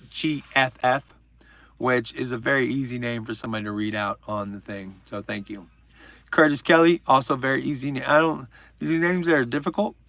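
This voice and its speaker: A man's voice: medium pitch, bright, forceful tone, no room sound. A man hosting a casual livestream from home, rambling, swearing and joking with his chat. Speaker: livestream host.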